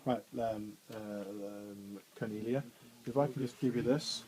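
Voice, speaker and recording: A man with a bassy voice, chatting informally through a radio studio training session with frequent fillers and restarts. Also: fairly even intonation, unhurried, mellow, a little hiss or hum, poor audio. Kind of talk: hands-on technical demonstration.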